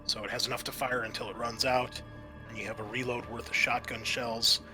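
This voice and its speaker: A man narrating a tabletop roleplaying game as game master, explaining things in a halting, improvised way. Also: mid-range voice, level tone, music in the background.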